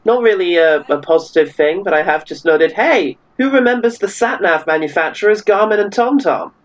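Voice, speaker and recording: Dull lo-fi recording, lightly high-voiced man. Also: expressive, moderate pace. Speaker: podcast panelist. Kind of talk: casual chat. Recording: dull lo-fi, faulty audio.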